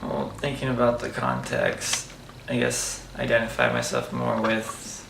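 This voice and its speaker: Young man, interviewee, gravelly, unhurried, echoey noisy room. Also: mid-range voice. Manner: relaxed, hesitant, with fillers. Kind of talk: casual interview answers. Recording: echoey room with loud background noise.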